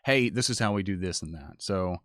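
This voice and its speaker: A man's voice: low-pitched, expressive, a little fast, no room sound, studio quality. A man co-hosting a live stream, chatting casually and addressing the viewers as he goes. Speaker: co-host and social media producer of a live stream.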